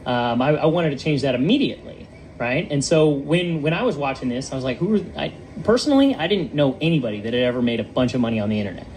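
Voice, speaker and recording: A man's voice: medium pitch, animated, quick pace, hardly any pauses, a little mellow, slight background noise, rough recording. Earnest man telling his story. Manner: earnest, with many fillers and restarts. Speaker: online business promoter. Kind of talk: personal testimony in conversation.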